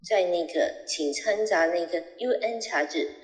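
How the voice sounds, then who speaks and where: A fairly low female flight attendant, played by an actress makes polite service announcements for a radio play. The delivery halts slightly, in a roomy, echoing sound. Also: unhurried, lightly acted. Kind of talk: scripted cabin service announcement. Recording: roomy, echoey hall sound.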